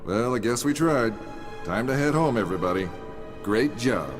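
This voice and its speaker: An actor playing a sardonic, glib adventurer hero in a video game cutscene. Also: male voice, medium pitch, expressive, normal speed, close to an everyday voice. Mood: sarcastic. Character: wisecracking, unimpressed adventurer hero. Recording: loud music behind the voice.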